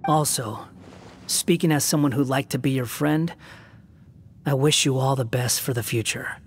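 Casting: man playing proud young game hero, medium pitch, dry and curt, faint noise behind. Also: normal speed, close to an everyday voice. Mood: solemn. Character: aloof young male wanderer hero, proud, grudgingly kind. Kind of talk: video game voice acting.

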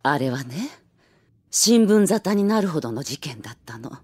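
A woman's voice: deep voice, sing-song, darkish tone, slightly rough, dry recording, full character voice. Playful elderly lady with swooping intonation. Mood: gracious. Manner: genteel, teasing, deliberately revealing. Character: playful elderly woman novelist, genteel and teasing. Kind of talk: anime audio drama acting.

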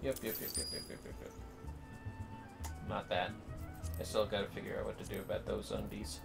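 A man streaming a game speaks in a fairly low, gravelly voice over clear background music. He chats casually, muttering to himself and playfully addressing his audience. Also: level tone, fairly quick. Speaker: livestreamer, host. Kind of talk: casual gaming livestream commentary, talking to chat. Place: indoors at home.

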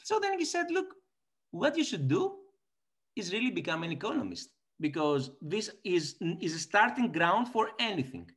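A man's voice: high-pitched, very animated, normal speed, fairly bright tone. A man in his forties with a Greek accent, speaking as a guest on a video call interview, thoughtful and full of fillers and restarts. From Greece. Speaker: guest economist. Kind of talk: conversational interview. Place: small indoor room. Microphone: laptop webcam on video call.

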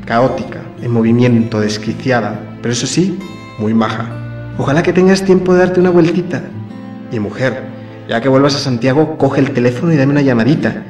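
A man's voice: medium pitch, a little mellow, natural voice. Man playing a chatty friend, roomy sound. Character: chatty, affectionate, cheeky male friend from Madrid writing a letter. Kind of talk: radio soap letter read aloud. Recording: roomy, somewhat distant, faint music behind.

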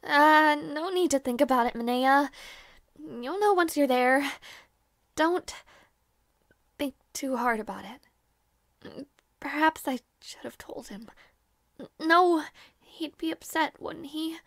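A woman playing a devoted, anxious young maid in an audio roleplay, speaking softly and politely with halting hesitation. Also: sits high, no echo, heavily acted. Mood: sad, wistful.

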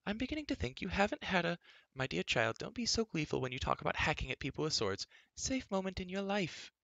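A man's voice: high voice, very animated, fast, vocal fry, sharp tone, no echo, lo-fi sound, voice pushed up, heavily acted. A man doing a fussy, flustered queen. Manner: fussy, fluttery and scolding.

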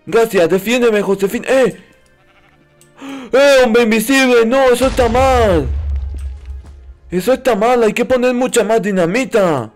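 Male gaming host, very high-pitched, naive childlike roleplay delivery, music underneath. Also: a little slow, pauses often. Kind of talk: playful noob roleplay commentary over a video game.

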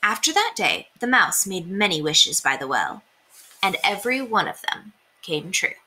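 Young woman, storybook read-aloud, bright, unhurried, hugely varied pitch. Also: low-quality recording. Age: young adult. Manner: deliberate, animated reading. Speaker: teacher reading aloud. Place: small indoor room. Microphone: webcam at desk distance.

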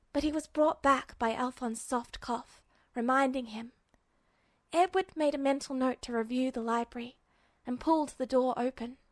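A woman's voice: high-pitched, no room sound. A woman reading a story aloud as a scripted fiction narrator. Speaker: audiobook narrator.

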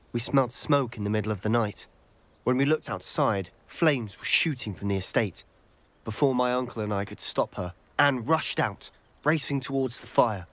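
Low-voiced, earnest British schoolboy wizard , acted by a man. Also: fairly bright tone, no echo, lightly acted. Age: young adult. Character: earnest, determined teenage schoolboy wizard seeking a cure. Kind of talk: video game character dialogue.

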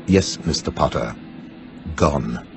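A man's voice: rumbling low, animated, takes it slowly, vocal fry, music in the background, heavily acted. A man playing a stern, sneering wizard-school professor in a video game, English accent, clipped and menacing delivery. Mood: stern, dismissive. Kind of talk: video game cutscene acting.

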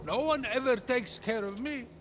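A man's voice: very high-pitched, moderate pace, fairly bright tone, slight background noise. An actor playing a vain, needy male god and comic narrator in a game, whiny and melodramatic. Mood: self-pitying. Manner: whiny, melodramatic, self-pitying. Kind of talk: animated game voice acting. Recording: music behind the voice.

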